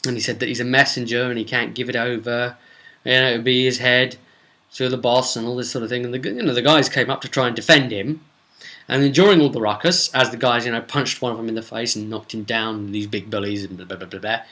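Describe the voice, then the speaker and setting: Bright-voiced man recapping a tabletop game. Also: medium pitch, fast. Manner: rambling, animated storyteller acting out snippets of dialogue. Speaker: game master. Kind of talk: casual recap of a tabletop role-playing session.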